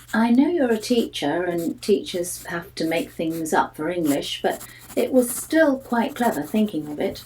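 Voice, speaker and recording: A woman's voice: lowish voice, darkish tone, a little hiss or hum, poor audio. A woman voicing an anxious, candid schoolboy reading out his diary in a chatty, confiding way for a children's audiobook. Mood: earnest, chatty. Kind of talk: first-person children's audiobook narration.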